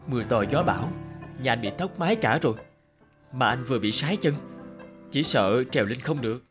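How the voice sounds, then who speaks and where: A man playing a scheming, lazy, cowardly elder brother in an animated folk tale, feigning weariness and stammering when scared. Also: mid-range voice, fairly quick, muffled recording, over music, lightly acted. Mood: complaining.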